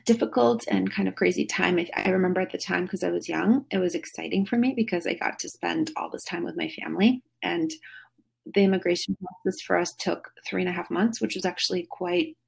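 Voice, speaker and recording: A middle-aged woman on a podcast call tells her story in a loose, rambling way full of fillers and restarts. Her voice sits low, with a breathy, husky grain and a steady gravelly fry underneath. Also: brisk, rough recording. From Russia. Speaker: podcast guest. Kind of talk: casual remote interview. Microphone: phone or video call.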